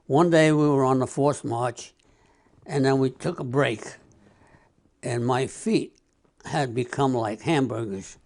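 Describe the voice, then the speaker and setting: An elderly man in his nineties speaks at a very unhurried pace, measured and deliberate. His pitch sits in an ordinary middle range as he tells his memories in an interview. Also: darkish tone. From United States. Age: 90s. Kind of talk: documentary interview recollection.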